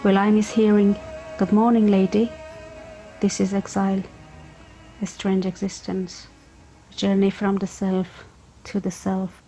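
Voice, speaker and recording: A woman reciting a spoken-word poem in a measured, subdued way. Her delivery stays flat and even, and her tone is warm and relaxed. Soft music plays underneath her voice. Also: medium pitch, rough recording.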